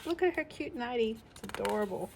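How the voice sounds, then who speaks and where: A woman's voice: on the high side, lively intonation, fairly quick, vocal fry, background noise. A woman reading a picture book aloud to a young child, expressively, with chatty asides. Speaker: storytime reader.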